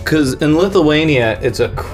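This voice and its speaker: A man's voice: on the high side, animated, normal speed. A man from the United States hosting a podcast, chatting casually and jokingly, rambling a little and laughing often. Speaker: podcast host. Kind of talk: casual comedic chat.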